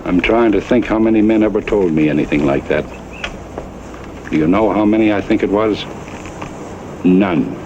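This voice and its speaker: Stern old cattle baron, lowish voice. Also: male voice, mellow. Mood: stern warning. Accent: American. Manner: grave, deliberate, plain-spoken. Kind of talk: Western radio drama acting. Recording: muffled old radio recording, faint noise.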